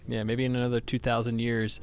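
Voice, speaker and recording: A man's voice: mid-range voice, monotone, brisk, faint music behind. A man hosting a podcast in a casual interview style. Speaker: podcast host.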